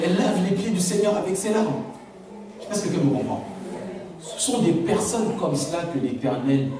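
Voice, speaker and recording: A man with a fairly high voice preaches a sermon in a large, very echoey church. His native language is Lingala, and he keeps asking the congregation whether they understand. He is heard from some distance, with faint background noise. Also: warm tone. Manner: preaching with rhetorical questions to the congregation. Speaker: pastor.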